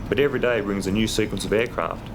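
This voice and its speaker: An Australian man working as an air traffic controller, explaining his job in a measured, matter-of-fact way. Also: on the low side, vocal fry. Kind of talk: career profile narration with radio phraseology.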